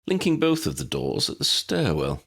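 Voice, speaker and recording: A man voicing a formal, bookish young male wizard who speaks in a measured, rather pompous way. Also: mid-range voice, wildly swooping pitch, creaky, fairly bright tone, breathy edge, pitched above the performer's own voice, full character voice. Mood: measured, observant.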